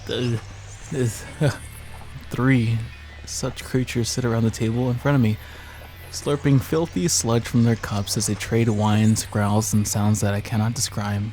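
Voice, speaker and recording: A man's voice: medium pitch, little pitch movement, measured pace, a little mellow, low-quality recording. A man playing a visual novel with a friend as a guest, bantering casually and teasingly and sometimes reading narration aloud. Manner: jokey, teasing, swears. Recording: music clearly behind the voice.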